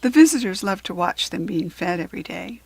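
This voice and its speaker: A woman's voice: on the low side, expressive, brisk, vocal fry, a little mellow, husky, no echo, exaggerated voice. Woman as a friendly storybook head ranger. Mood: explaining. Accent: American. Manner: cheerful, explanatory.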